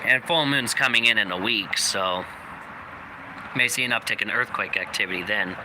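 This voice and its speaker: A young man hosting a channel, giving a rambling casual update with many fillers, his voice slightly raspy from coughing. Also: on the low side, moderate pace. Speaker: channel host. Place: outdoors, people walking by. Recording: noisy outdoor recording.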